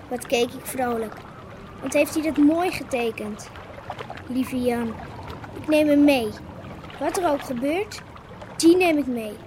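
A woman's voice: high voice, noisy background. An actress playing an anxious, devoted young woman caught in a flood in a radio drama, speaking with dramatic, urgent distress. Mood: wistful, tearful. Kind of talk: Dutch radio play acting. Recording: radio play over loud rushing water.

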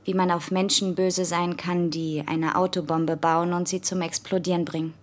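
A woman's voice: lowish voice, little pitch movement, normal speed, natural voice. A young actress playing a dry, challenging teenage girl in naturalistic radio drama. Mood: serious, reflective. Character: sardonic, blunt teenage girl living with her parents.

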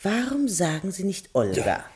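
A smoky-voiced teasing gangster's moll. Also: female voice, lowish voice, huge pitch swings, moderate pace, a little mellow, breathy edge, exaggerated voice. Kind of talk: old radio drama acting.

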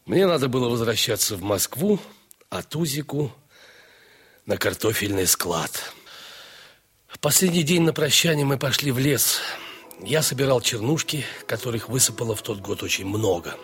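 An actor playing a playful, warm-hearted dog owner who tells his own story in a theatrical, expressive audio dramatization. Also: mid-range voice, slightly rough. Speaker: narrator and dog's owner in a story. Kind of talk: dramatized first-person story narration.

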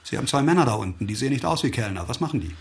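An actor playing a hard, sardonic police investigator in a radio crime drama, commanding and clipped. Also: male voice, mid-range voice, expressive, racing, close to an everyday voice. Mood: probing. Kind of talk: German radio drama acting.

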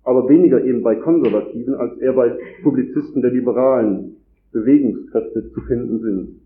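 A man with a cold gives a formal academic lecture at a conference, reading long, measured sentences from a script. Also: mid-range voice. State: has a cold. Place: conference hall. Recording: echoey hall over a tinny, phone-like line.

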